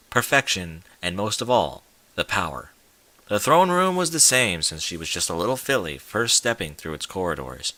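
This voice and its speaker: A man's voice: fairly low pitch, pushed and bright, dry recording. A man reading a scripted story aloud as a dramatic narrator, with an emphatic, theatrical delivery. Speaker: fan fiction narrator.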